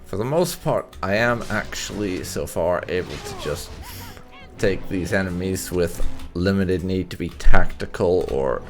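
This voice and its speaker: A man hosting a casual let's play with light roleplay, explaining things in a wordy, measured way. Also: sits low, lively intonation, faint music behind. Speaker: gaming YouTuber, let's play host.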